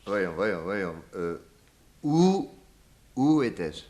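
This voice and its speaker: A man's voice: fairly low pitch, big pitch swings, a little mellow, heavily acted. An actor playing a genial, tipsy detective uncle who rambles and stammers through his tale in a 1960s French radio drama. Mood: evasive, rambling. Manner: hesitant, halting, stammering.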